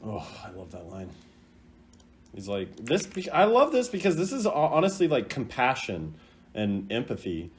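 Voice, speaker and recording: Animated man chatting casually about games. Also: medium pitch, normal speed. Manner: animated, acts out imagined quotes in exaggerated voices. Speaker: gaming content creator. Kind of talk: casual chatty commentary on game voice lines.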